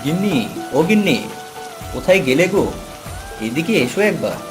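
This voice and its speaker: A man's voice: on the high side, lively intonation, normal speed, natural voice. A man playing a poor, humble, hardworking village husband in a moral-story cartoon, with rain sounds behind him. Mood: cheerful. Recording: music and rain under the voice.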